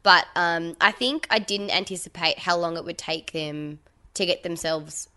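A woman co-hosting a podcast, telling a story in casual chat with lively acted-out quotes. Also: fairly low pitch, normal speed. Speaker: podcast co-host.

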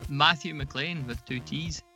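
A man's voice: fairly high pitch, measured pace, bright, forceful tone, faint music behind. A man talking casually as a podcast guest. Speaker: podcast guest, audio drama producer. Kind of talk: casual chat.

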